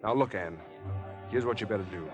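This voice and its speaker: An actor playing a tense, determined young athlete in 1950s radio drama, clipped and decisive. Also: male voice, on the low side, fairly quick, highs cut off, loud music behind the voice, close to an everyday voice. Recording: vintage 1950s radio broadcast.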